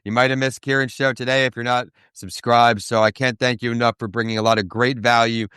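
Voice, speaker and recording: A man hosting an interview podcast, upbeat and full of praise, sometimes slowing down for emphasis. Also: medium pitch, level tone, bright, forceful tone, clear, resonant tone, no room sound, clean studio sound. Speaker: podcast host.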